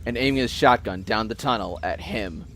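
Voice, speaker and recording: A man's voice: fairly low pitch, normal speed, background noise. A man delivering dramatic scripted narration for an audio story.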